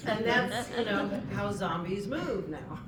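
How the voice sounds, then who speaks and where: A woman speaking at a convention panel, chatty and animated as she tells stories. Her voice sits at a middle pitch and reaches the mic from a distance. It echoes around a large hall, with steady noise behind it. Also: measured pace, over a noisy crowd. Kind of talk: panel talk, storytelling.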